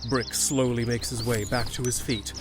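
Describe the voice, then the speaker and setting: A man's voice: mid-range voice, fairly even intonation, moderate pace, over loud music. A man narrating a scripted fantasy audio drama in a descriptive storytelling manner.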